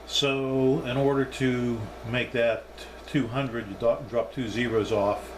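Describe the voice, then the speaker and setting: A man's voice: mid-range voice, steady tone, slow, noisy background. A man giving a casual, unscripted hands-on demonstration as a hobbyist reviewer, thinking aloud as he goes.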